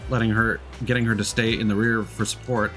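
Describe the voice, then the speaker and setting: A man's voice: lowish voice, brisk. A male streamer casually commenting on a game and reading its text and dialogue aloud. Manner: relaxed, reads dialogue lines flatly and comments between them. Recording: game music behind the voice.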